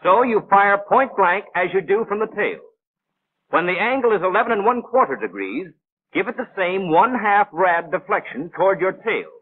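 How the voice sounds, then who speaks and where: An actor playing a brisk, know-it-all gunnery instructor in a 1940s animated training film, precise, clipped and didactic. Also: male voice, high voice, narrow, tinny audio, slight background noise. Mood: instructive. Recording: thin, band-limited 1940s film soundtrack.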